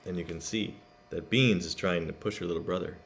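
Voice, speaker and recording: A man reading a picture book aloud to children in an expressive, emphatic way, adding explanations for the listeners. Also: low voice, brisk, vocal fry, a little hiss or hum. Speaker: read-aloud storyteller.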